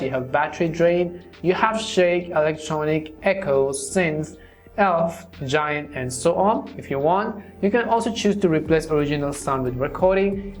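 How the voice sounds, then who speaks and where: A how-to host with a mid-pitched voice narrates a scripted tutorial. The delivery is wordy and instructional, moving through things step by step. Music plays behind the voice. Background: background music.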